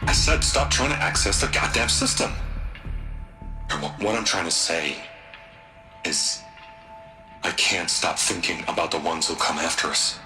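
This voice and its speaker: An actor in a video game playing a guilt-ridden, unhinged male tech magnate who talks haltingly and turns grandiose. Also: deep voice, over music. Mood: agitated, anguished. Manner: halting, stammering, turning grandiose. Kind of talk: dramatic video game acting.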